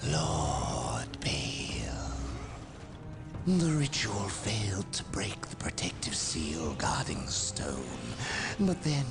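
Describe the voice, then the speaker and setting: An actor playing a villainous, treacherous sorcerer in a video game cutscene, speaking with theatrical, deliberate, silky menace that breaks into a hiss. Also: male voice, low voice, exaggerated voice. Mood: smug, scheming. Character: scheming villainous male chaos sorcerer, smug and treacherous. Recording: clearly noisy game cutscene audio.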